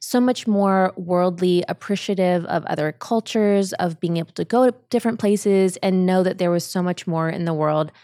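A woman's voice: fairly low pitch, normal speed, few pauses, mellow, no room sound, clean studio sound. A woman in her thirties from Ohio, telling her story as a guest in a casual interview. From Ohio, USA. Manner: chatty, upbeat storytelling. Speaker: guest, brand founder.

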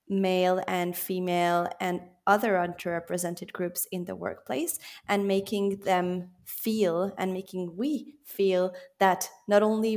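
A woman guest in a podcast interview, speaking in a measured, earnest way about her work. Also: medium pitch. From born in Switzerland, raised in Belgium. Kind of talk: interview answers.

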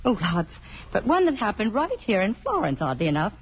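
An idealistic woman in love in an old radio drama. Her husky voice moves with lively swings, ardent and playful. The broadcast sounds muffled. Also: medium pitch, a little fast, heavily acted. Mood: playful, tender. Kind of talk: 1950s radio drama acting.

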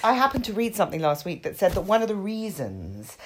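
A woman's voice: medium pitch, wildly swooping pitch, quick pace. A snuffly woman with hay fever chatting casually with a friend, teasing her with dry wit. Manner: dry, teasing wit, mock-serious asides. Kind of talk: casual chat between friends.

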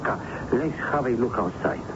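A man playing an evasive, deferential Mexican silversmith who speaks haltingly in a radio drama. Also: mid-range voice, natural voice. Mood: nervous, defensive. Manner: hesitant, halting speech. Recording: noisy, muffled old radio broadcast.

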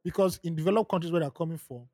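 Husky Nigerian man retelling news. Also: on the high side, dark tone, no room sound, clean studio sound. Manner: drawn-out, emphatic retelling. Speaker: podcast co-host. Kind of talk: casual chat.

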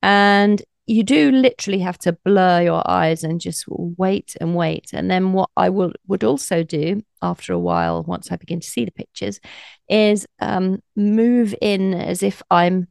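A woman guest with a slightly croaky, gravelly voice, explaining her psychic practice in a thoughtful, conversational way during an interview. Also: fairly low pitch, expressive, measured pace, darkish tone, dry recording, studio quality. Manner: thoughtful, explanatory, light laughs.

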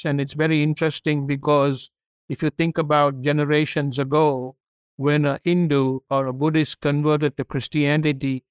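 Casting note: middle-aged man from Sri Lanka, podcast guest, smooth voice, flat steady delivery. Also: a little high, no room sound. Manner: steady, earnest storyteller. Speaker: podcast guest, company CEO. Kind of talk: interview storytelling.

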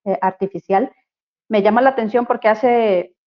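A woman from Costa Rica with a Costa Rican accent, speaking as an interview guest on human rights, thoughtful and halting, with many fillers and restarts. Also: a little high. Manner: reflective, many fillers and restarts, searching for words. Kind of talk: casual interview talk. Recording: dull lo-fi audio.

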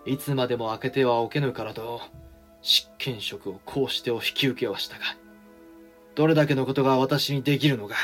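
A man's voice: mid-range voice, a bit bright, faint music behind, lightly acted. Man playing a weary, dignified samurai regent. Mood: rueful. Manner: measured, rueful, formal. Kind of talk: Japanese period audio drama acting.

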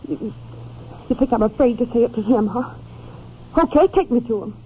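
A woman's voice: fairly high pitch, normal speed, slight background noise, close to an everyday voice. An actress playing a bitter, desperate young woman in a melodramatic old-time radio drama. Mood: defiant. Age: young adult. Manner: melodramatic, emotional delivery. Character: bitter, desperate young woman drug addict turning on her gang. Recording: muffled vintage radio recording.

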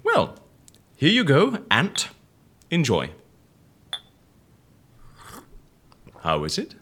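An actor playing a wry, mocking fixer, theatrical and teasing, in an audio drama. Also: male voice, a little high, huge pitch swings, slow, fairly bright tone, slightly rough, exaggerated voice. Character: wry, mocking man who helps people disappear.

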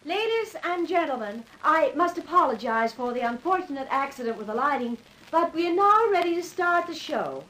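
An actress playing a poised, dryly reproving fashion-house boss in a 1950s radio drama. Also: female voice, sits high, animated, normal speed, a bit bright, slight background noise, poor audio. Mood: formal announcing.

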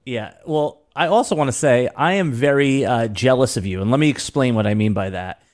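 A man's voice: mid-range voice, brisk, a little mellow. A man hosting a casual fantasy sports podcast, rambling and restarting his sentences often. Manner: rambling, with many restarts and filler words. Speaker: podcast host.

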